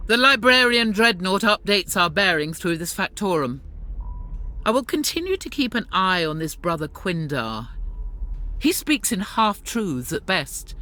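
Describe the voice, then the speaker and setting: An actress voicing a devout, zealous warrior nun with a stern, commanding delivery. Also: female voice, on the low side, pushed and bright, full character voice. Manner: fervent, commanding. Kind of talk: video game cutscene voice acting.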